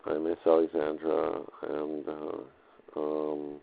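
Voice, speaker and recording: Very deep, gravelly-voiced man, rambling. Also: monotone, takes it slowly, narrow, tinny audio. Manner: hesitant, repetitive, filler-heavy, loosely drifting. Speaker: audio diarist. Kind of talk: rambling unscripted audio diary.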